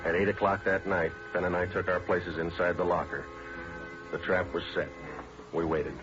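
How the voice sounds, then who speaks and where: A man plays a level-headed radio detective with a very deep voice. He is terse and matter-of-fact, reporting like a case file. The sound is a dull 1950s radio recording. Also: normal speed, music in the background, natural voice. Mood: dry, factual. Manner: clipped, deadpan, matter-of-fact. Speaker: police detective and narrator. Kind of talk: 1950s radio drama, scripted narration. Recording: dull old radio recording, background noise.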